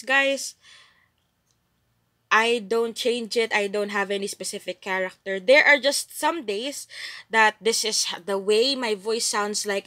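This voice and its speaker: A woman's voice: medium pitch, sharp tone, no echo, studio quality. A young woman with a Filipino accent, chatting playfully and giggling as she streams herself playing a game. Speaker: gaming streamer. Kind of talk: live gameplay commentary and chat.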